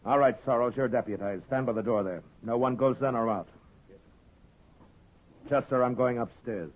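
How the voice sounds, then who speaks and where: An actor playing a stern, commanding American frontier marshal who gives terse orders in a 1950s radio western. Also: male voice, mid-range voice, moderate pace, lightly acted. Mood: firm, commanding. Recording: tinny, noisy 1950s radio recording.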